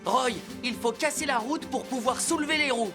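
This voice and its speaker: A woman's voice: sits high, normal speed, natural voice. A woman voicing a brave, level-headed rescue car that leads the team in a children's cartoon with decisive instructions. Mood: calm, focused. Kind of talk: dubbed children's cartoon acting. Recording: loud music behind the voice.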